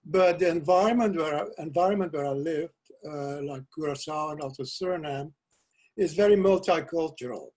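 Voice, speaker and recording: An older man, a Dutch native speaker from South America, talking as a guest poet over a video call from a cluttered home office, soft-spoken and hesitant with restarts. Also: fairly high pitch, normal speed. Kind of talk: casual video call interview. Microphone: video call webcam.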